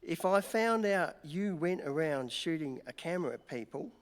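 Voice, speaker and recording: A man's voice: on the high side, animated, normal speed, lightly acted. A man playing a tipsy, sardonic newspaper reporter in a comic radio play, mock-grand and tripping over his words. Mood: tipsy, bossy. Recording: reverberant hall.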